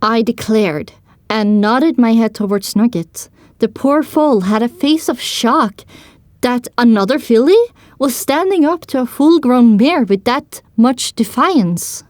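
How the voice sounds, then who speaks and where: A woman's voice: on the high side, animated, unhurried, a little mellow, no room sound, clean studio sound. A woman narrating a scripted story in the first person as part of an audio drama.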